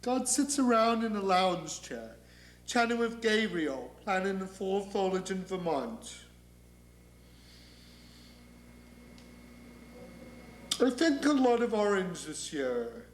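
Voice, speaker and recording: A man's voice: sits high, very animated, measured pace. A man acting a theatrical comic monologue as a neurotic, negative character, wry and confiding. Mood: sardonic. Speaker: actor performing a monologue. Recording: echoey room.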